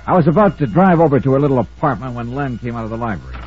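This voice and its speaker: An American man acting a brisk, commanding, hard-boiled investigator in an old-time radio detective drama, also narrating it. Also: mid-range voice, animated, soft background music, natural voice. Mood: dry narration. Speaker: lead actor and narrator of a radio detective serial. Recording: muffled old radio recording.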